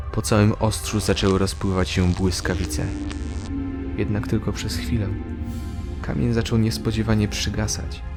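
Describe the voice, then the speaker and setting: Low-voiced male fantasy narrator. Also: a little mellow. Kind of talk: scripted dramatic fantasy narration. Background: music.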